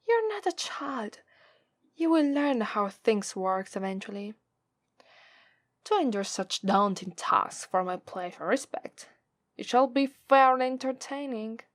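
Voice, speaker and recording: Woman acting a slow, haughty Italian-accented demoness. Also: a little high, no room sound. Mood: imperious, scornful. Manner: condescending, drawn-out, taunting delivery. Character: haughty, teasing, menacing female demon master. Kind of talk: ASMR roleplay acting.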